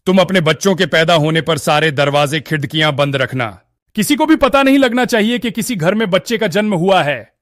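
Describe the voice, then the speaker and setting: Man acting a higher-pitched stern village exorcist. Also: animated, moderate pace, a bit bright, no echo, clean studio sound. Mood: warning. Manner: commanding, warning. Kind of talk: Hindi cartoon story acting.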